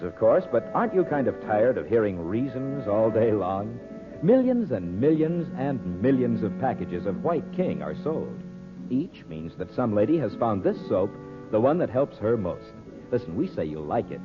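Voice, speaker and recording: Male American announcer, vintage radio sales pitch, folksy and animated, tinny narrowband sound with music behind. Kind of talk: old-time radio advertising, folksy scripted pitch. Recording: phone-like old radio broadcast, music behind.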